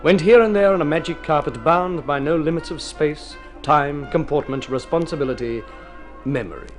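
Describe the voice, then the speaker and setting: An actor as an urbane, wry storyteller, narrating a mid-century radio drama in a literary, theatrical manner. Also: male voice, on the high side, normal speed, faint music behind, close to an everyday voice. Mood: wry, grand.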